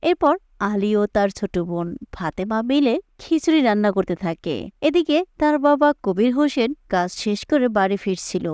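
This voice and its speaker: A woman's voice: medium pitch, animated, moderate pace, a little mellow, clear, resonant tone, no echo, studio quality. A woman narrating a scripted cartoon moral story as a storyteller.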